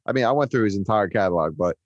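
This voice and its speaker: A man's voice: lowish voice, expressive, very fast, dry recording, muffled recording. A man co-hosting a music podcast, chatting casually and enthusiastically with plenty of fillers. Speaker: podcast co-host, songwriter and producer. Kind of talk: casual chat.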